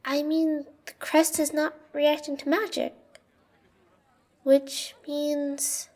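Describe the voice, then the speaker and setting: A woman's voice: high-pitched, flat delivery, slow, a little mellow. A woman playing a young, loyal, cautious girl serving a queen, earnest and deferential, in a fantasy audio roleplay. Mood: helpful, uneasy.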